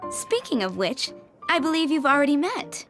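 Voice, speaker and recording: A woman's voice: sits high, big pitch swings, normal speed. A woman playing a polite, gracious and chatty tavern proprietress in a video game. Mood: genial. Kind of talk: scripted video game dialogue. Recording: faint music behind.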